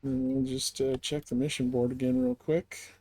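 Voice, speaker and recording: A man's voice: mid-range voice, level tone, moderate pace, mellow. Man casually talking through a game livestream. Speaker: live game streamer. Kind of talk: casual livestream gameplay commentary.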